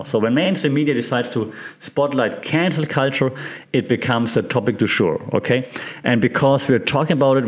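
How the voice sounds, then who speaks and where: A man with a German accent talks as a solo podcast host at an average male pitch. He explains things informally and conversationally. His delivery is halting, with repeated words and small check-ins aimed at the listener.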